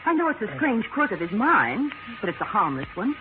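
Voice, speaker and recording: An actress playing a proper, guarded wife in a 1940s radio drama, with a formal, earnest manner. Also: female voice, mid-range voice, sing-song, brisk, lightly acted. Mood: earnest, protective. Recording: noisy, tinny 1940s radio recording.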